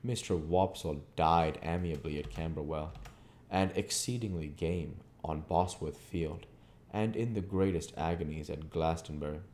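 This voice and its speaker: A man with a very low, dark and mellow voice reads as an audiobook narrator. His delivery is measured and literary, with a soft-edged tone. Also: a little hiss or hum. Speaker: audiobook reader, channel host. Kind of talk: scripted narration of a classic novel.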